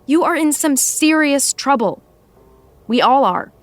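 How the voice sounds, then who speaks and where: A female assistant with a fairly high voice, blunt and exasperated with her boss. She speaks firmly and articulately, with dry sarcasm, in naturalistic audio drama style. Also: animated, a little slow, no echo. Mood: firm, scolding. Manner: firm, articulate, reproving, dryly sarcastic.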